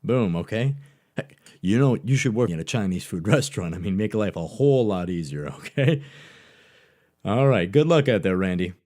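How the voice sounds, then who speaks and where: An actor playing a jaded, distracted school counselor in a scripted comedy, flippant and halting. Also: male voice, lowish voice, animated, a little mellow, lightly acted. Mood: dismissive. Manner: flippant, halting, muttering asides. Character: jaded, distracted male school guidance counselor, careless and awkward.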